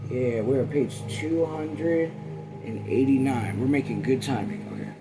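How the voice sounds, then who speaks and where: A man's voice: mid-range voice, a little slow, music in the background, poor audio. A man hosting a read-along, reading a novel aloud informally and breaking off to comment. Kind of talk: casual audiobook reading with asides.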